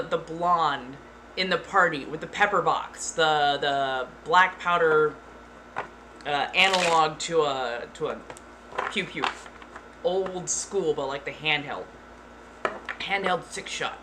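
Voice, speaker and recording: A woman streaming live who talks to her chat in a plain, blunt way. She keeps her delivery deliberately monotone and swears now and then without fuss. Steady background noise sits under the voice. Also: sits low. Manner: frank, swears casually.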